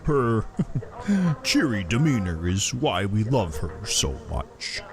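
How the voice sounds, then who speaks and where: A man playing a genteel, self-satisfied asylum warden with pompous, drawn-out formal phrasing in an audio drama. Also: lowish voice, sing-song, over music, exaggerated voice. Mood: pompous, jovial.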